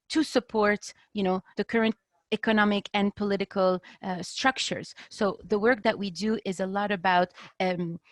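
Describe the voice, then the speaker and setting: Halting, earnest woman speaking, close and dry. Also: medium pitch, dry recording. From Lebanon. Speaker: panel speaker, design activist. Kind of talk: unscripted panel talk on video call. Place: remote video call.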